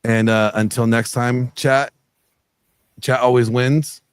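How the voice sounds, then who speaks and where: A man's voice: mid-range voice, fairly even intonation, takes it slowly, clean tone, dry recording, clean studio sound. A middle-aged man speaking earnestly as a guest on a video call interview, rambling with many fillers. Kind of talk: casual interview chat.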